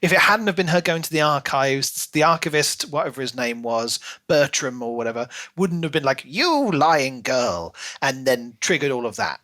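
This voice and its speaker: A man's voice: mid-range voice, lively intonation, moderate pace, few pauses, sharp tone. A man co-hosting a podcast, talking casually through a book and acting out bits as he explains. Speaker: podcast co-host.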